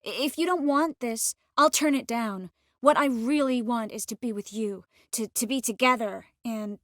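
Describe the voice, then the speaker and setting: An actress voicing a young sorceress in a video game, gentle, earnest and determined, with a measured, expressive delivery. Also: female voice, on the high side, no echo, clean studio sound, close to an everyday voice. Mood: tender, hopeful. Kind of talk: video game character voice lines.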